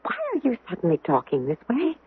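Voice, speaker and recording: An actress playing an excitable, incredulous Roman woman friend in an exclamatory, theatrical old-time radio drama style. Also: lowish voice, fast talker, a little hiss or hum. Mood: puzzled, concerned. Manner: exclamatory, theatrical, stammering when flustered. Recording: muffled vintage 1970s radio broadcast.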